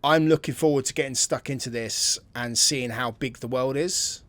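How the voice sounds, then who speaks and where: A man's voice: medium pitch, normal speed, fairly bright tone. Man giving casual gaming commentary. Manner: informal, thinking aloud. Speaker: gameplay video host.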